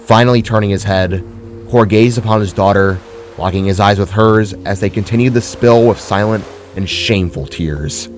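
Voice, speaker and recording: A man's voice: fairly low pitch, moderate pace, soft background music. A man reading scripted dramatic fiction as a narrator.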